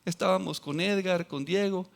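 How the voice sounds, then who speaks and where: A man with a fairly high voice preaches a sermon, swinging between loud exclamations and quiet, low asides. He quotes scripture and acts out the voices of other people as he goes. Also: moderate pace, vocal fry. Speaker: pastor.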